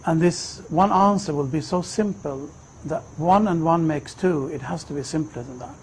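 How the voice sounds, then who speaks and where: A man in his fifties from Sweden, telling his personal story in a reflective, measured way. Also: fairly high pitch, slight background noise. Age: 50s. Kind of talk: personal testimony, unhurried storytelling.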